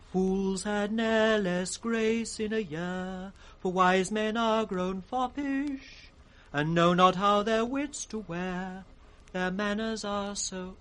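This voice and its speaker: Lively, high-pitched mocking court fool. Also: male voice, exaggerated voice. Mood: singing. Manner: sing-song, riddling, impudent. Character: witty, mocking court fool, teasing the king. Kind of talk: 1960s British radio Shakespeare acting, with sung rhymes. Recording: vintage 1960s radio drama recording.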